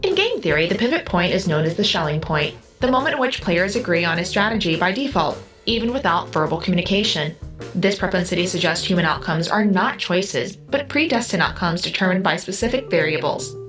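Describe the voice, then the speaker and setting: A woman's voice: lowish voice, lively intonation, brisk, music in the background, natural voice. A woman playing a curious, literal-minded otherworldly being in an audio drama, with precise, formal, analytical phrasing. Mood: coolly analytical.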